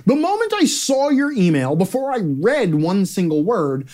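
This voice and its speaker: A man's voice: high voice, sing-song, normal speed, darkish tone. A man reading his own opinion column aloud as a scripted comic rant, brash and sarcastic, with theatrical emphasis and plenty of swearing. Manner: brash, profane.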